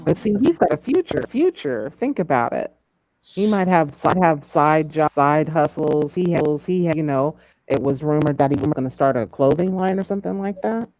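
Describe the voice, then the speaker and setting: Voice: mid-range voice, expressive, moderate pace, darkish tone, no echo, rough recording. A person in a casual group discussion, speaking emphatically and often repeating phrases for effect. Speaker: panelist in a true crime discussion. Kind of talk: casual chat, speculating in a group call.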